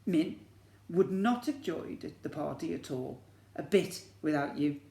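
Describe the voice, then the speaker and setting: A man's voice: a little high, measured pace, gravelly, dark tone. Man voicing a firm, kindly mum, echoey. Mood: grateful. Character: firm, kindly mother hosting a child's party. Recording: echoey room.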